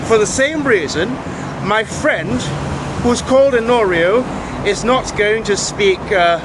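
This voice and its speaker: A man's voice: high voice, slow pace, noisy background. A Malaysian man with a British accent, delivering an opinionated monologue and pressing each point with "okay?" and "right?". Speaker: vlogger.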